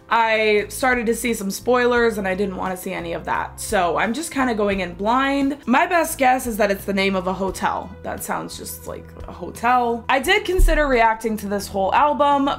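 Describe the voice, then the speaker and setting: A woman with a stuffy nose from a cold, chatting enthusiastically as she reacts to a new song. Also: fairly high pitch. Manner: gushing, enthusiastic, chatty with fillers. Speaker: music reaction vlogger. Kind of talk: casual chat.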